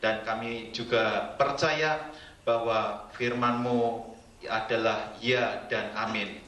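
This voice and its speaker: A man's voice: mid-range voice, fairly even intonation, slow pace, bright, forceful tone. A middle-aged man preaching a sermon to a congregation, joking playfully with them. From Indonesia. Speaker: guest preacher. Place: church hall. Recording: roomy church sound from a distant mic.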